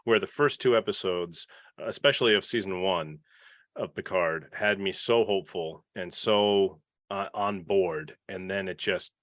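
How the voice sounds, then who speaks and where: A man with a fairly low voice hosts a casual podcast panel. He feels his way through sentences, often restarting them. The sound is dry and close. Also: a little slow, a bit bright, no echo. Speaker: podcast host leading a panel.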